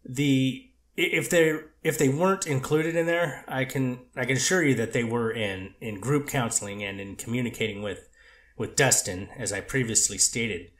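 A man reading the part of a treatment counselor testifying in court, hedging and halting with many restarts. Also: mid-range voice, a bit bright, roomy sound, close to an everyday voice. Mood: measured. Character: earnest male counselor on the witness stand. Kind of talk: amateur readers theater reading of a court transcript.

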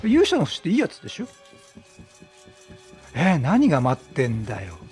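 A man's voice: fairly high pitch, huge pitch swings, normal speed, a little mellow, slightly rough. A man giving casual let's play commentary, chatty and teasing as he reacts to the game. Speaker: video game streamer. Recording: game music behind the voice.

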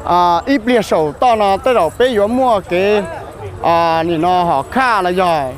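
A man's voice: high-pitched, unhurried. A young man from Thailand, an actor, answering interview questions outdoors at a festival, with hesitant speech and many fillers. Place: outdoor festival grounds with a large crowd. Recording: loud crowd noise behind.

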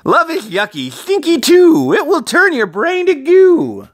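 Storyteller doing a bossy little cartoon paper heart, high and loud, swoops all over, scornful. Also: big pitch swings, measured pace, no room sound, studio quality. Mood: taunting. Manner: loud, exclaiming, dramatic.

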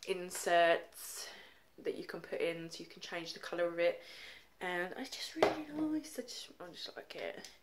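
A woman's voice: lowish voice, very animated, moderate pace, whispered. A young woman with a cold, chatting casually to her phone camera in her living room, rambling with lots of ums and likes. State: congested with a cold. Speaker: makeup vlogger. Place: home living room, indoors. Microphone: phone held at arm's length.

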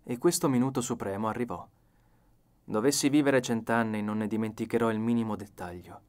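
A man's voice: fairly low pitch, fairly even intonation, a little fast, darkish tone, clean tone. A man reading an audiobook as narrator, in a dramatic scripted style.

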